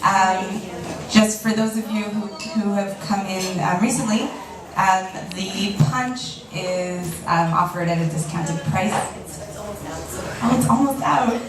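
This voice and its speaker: A woman's voice: mid-range voice, slow, background noise. A woman hosting a reading event in a restaurant cafe, making casual announcements with hesitant fillers. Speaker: event host. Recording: echoey room from far off with crowd chatter.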